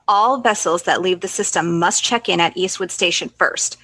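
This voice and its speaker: Bright, husky, feisty female space commander, acted. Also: fairly low pitch, dry recording. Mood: confident, brisk. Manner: brisk, confident, quick to bristle. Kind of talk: comedy sci-fi radio acting.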